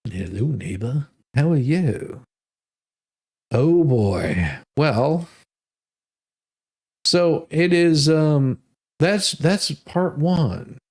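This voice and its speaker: An American man talks casually in a gravelly, fry-edged voice. He wanders through his commentary as a host, with a salty, sardonic manner. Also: a little high, animated, very slow, long pauses, darkish tone. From Detroit area, United States. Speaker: host of a humorous letter-reading show.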